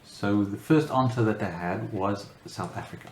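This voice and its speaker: Low-voiced guy from france, lots of uhs and restarts, echoey room. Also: moderate pace. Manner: hesitant, many fillers and restarts. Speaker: interviewee. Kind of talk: casual interview answers. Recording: roomy echo, faint background noise.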